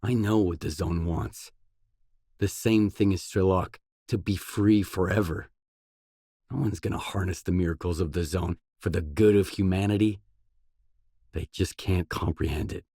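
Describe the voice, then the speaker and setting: An actor playing a determined, brooding stalker in a grim video game, with a measured, serious, understated delivery. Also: male voice, warm tone, no echo, clean studio sound. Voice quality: low, husky. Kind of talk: game voice acting, isolated dialogue lines.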